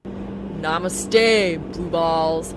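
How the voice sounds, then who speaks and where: A woman's voice: low-pitched, extremely slow, background noise. A woman voicing a brash, foul-mouthed young man who teases in bombastic, slangy bursts for an audiobook. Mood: smug, teasing. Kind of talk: audiobook character acting.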